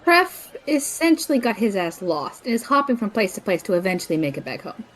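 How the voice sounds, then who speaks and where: Woman as a wry, expressive young female student. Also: medium pitch, a little fast, close to an everyday voice, poor audio. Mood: dry, wary. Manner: dry, teasing. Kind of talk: audio drama acting.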